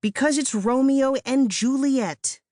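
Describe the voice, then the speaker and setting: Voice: high voice, slow pace, a little mellow, dry recording, clean studio sound, pitched above the performer's own voice. Narrator putting on a screechy, melodramatic preteen girl. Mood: exasperated. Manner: screechy, theatrical, exasperated. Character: melodramatic, easily frustrated bookish preteen girl. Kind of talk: acted character dialogue in a children's audiobook.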